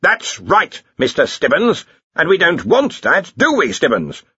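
A man voicing a domineering old wizard who argues impatiently and booms, in a storybook reading. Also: very animated, normal speed, bright, forceful tone, slightly rough, no echo, highs cut off, full character voice. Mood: impatient, blustering. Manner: argumentative, booming, impatient. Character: blustering, domineering old male wizard, head of faculty.

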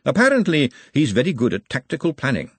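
A man voicing an urbane, calculating city ruler in a storybook, with measured, formal, dryly understated speech. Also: mid-range voice, huge pitch swings, a bit bright, husky, no echo, pitched above the performer's own voice, exaggerated voice. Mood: wry, unruffled.

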